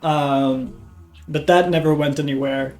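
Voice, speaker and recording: A man's voice: on the high side, fairly even intonation, a little slow. A man from Norway with a slight cold chats casually to viewers as a YouTube host, in a hesitant, self-deprecating way. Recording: voice over background guitar music. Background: guitar music.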